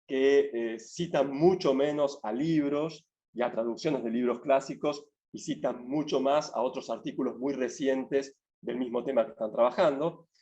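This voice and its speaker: A man giving an academic talk over a video call, measured and full of restarts. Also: medium pitch, warm tone, highs cut off. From Argentina. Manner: measured, many restarts and fillers. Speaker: guest speaker.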